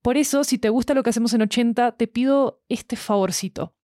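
A woman's voice: a little high, brisk, mellow, dry recording. Dry, close female podcast spokesperson. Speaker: studio spokesperson. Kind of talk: scripted listener appeal.

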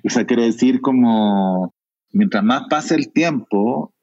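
A Chilean novelist in his forties with a Chilean Spanish accent, speaking thoughtfully, with many pauses and fillers. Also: mid-range voice, animated, a little mellow, no room sound, lo-fi sound. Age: 40s. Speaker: novelist guest. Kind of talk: reflective conversation.

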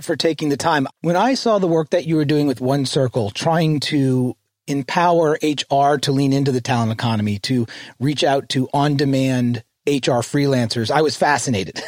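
A man hosting an interview, asking friendly, inquisitive questions at a measured pace. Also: mid-range voice, a little mellow, no echo, studio quality. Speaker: interviewer host.

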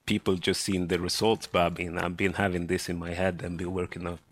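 Brand founder from Sweden on a panel: low, even voice, halting, earnest. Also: male voice. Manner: earnest, halting, searching for words. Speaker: model and beauty brand founder. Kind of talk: panel discussion.

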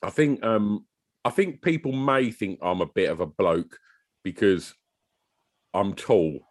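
A man's voice: fairly low pitch, slow. A British man co-hosting a podcast in casual, teasing banter, often laughing. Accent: British English. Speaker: podcast co-host.

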